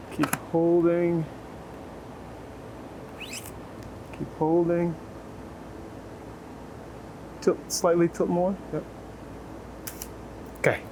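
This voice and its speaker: A man working as a photographer outdoors in a city, chatting casually and encouragingly as he gives short, repeated posing instructions. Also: on the high side. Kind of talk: casual chat while directing portrait subjects. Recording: city street ambience and traffic noise.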